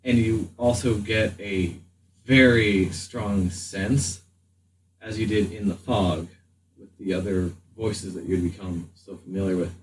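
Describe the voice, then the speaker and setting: A man with a fairly low voice runs a tabletop game as game master. His narration is halting and deliberate, picked up at some distance from the mic with faint noise in the recording. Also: steady tone.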